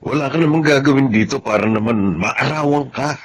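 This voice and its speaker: A man's voice: mid-range voice, brisk, a little hiss or hum, lightly acted. An actor playing a humble, good-natured rural man in a Tagalog radio drama, speaking casually and modestly with a Filipino accent. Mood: easygoing.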